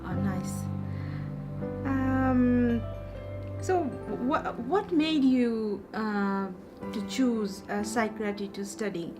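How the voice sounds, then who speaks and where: Slow, hesitant woman interviewer. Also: a little high. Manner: hesitant, many fillers and restarts. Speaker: interviewer, life coaching host. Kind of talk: hosted interview. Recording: music playing behind.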